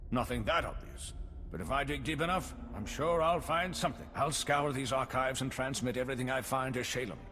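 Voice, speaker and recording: A man's voice: mid-range voice, fairly quick, bright, forceful tone, natural voice. Man playing an anxious, placating official, reverberant. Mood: focused. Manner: earnest, placating. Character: anxious male civilian official, pleading and pragmatic appeaser. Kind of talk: scripted game acting.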